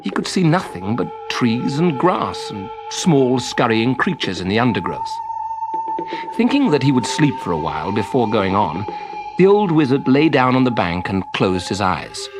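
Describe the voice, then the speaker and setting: A man narrating a children's story in an expressive storybook style. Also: mid-range voice, normal speed, over music, poor audio.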